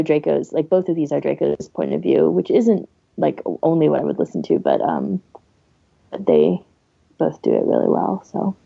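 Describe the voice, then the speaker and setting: Female podcaster, low and gravelly with heavy fry, rambling, searching for words, dry lo-fi sound. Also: dark tone, no room sound. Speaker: podcast host. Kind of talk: casual chat, giving recommendations.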